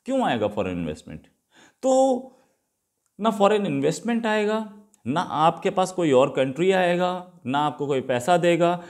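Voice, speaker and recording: Male Indian news commentator, slightly high voice, argumentative host delivery, unscripted, leaning on rhetorical questions. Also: very animated, normal speed, darkish tone. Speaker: host commenting on current affairs.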